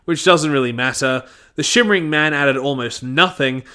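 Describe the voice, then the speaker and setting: A man's voice: a little high, moderate pace, pushed and bright. A man giving a scripted review in an opinionated way, with jokey asides. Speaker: audio drama reviewer.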